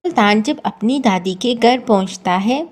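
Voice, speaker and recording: A woman's voice: a little high, lively intonation, moderate pace, faint music behind. A woman storyteller reading a scripted moral story narration.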